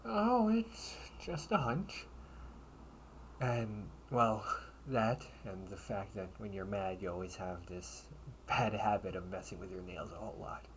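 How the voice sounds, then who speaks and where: Man acting unhurried, low-voiced loyal young knight. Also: animated, a little hiss or hum, poor audio. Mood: gentle concern. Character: loyal young knight, gently teasing, caring childhood friend. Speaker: amateur audio roleplay performer. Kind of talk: intimate audio roleplay acting.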